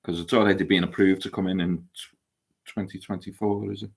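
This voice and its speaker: A man's voice: deep voice, little pitch movement, a little fast, creaky, slightly rough. A man co-hosting a casual live stream, talking hesitantly about news stories with lots of uhs and restarts. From Lancashire, England.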